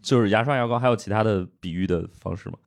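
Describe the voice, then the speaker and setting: A man's voice: on the low side, quick pace, creaky, background noise. A man hosting a casual podcast who asks his guests questions and offers short acknowledgements. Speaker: podcast host.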